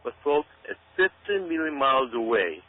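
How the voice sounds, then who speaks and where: A man's voice comes over a narrow, phone-like line with a hum. He delivers informal commentary at an ordinary male pitch, emphatic and folksy, addressing his listeners directly. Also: sing-song, moderate pace. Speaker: commentator giving his opinion.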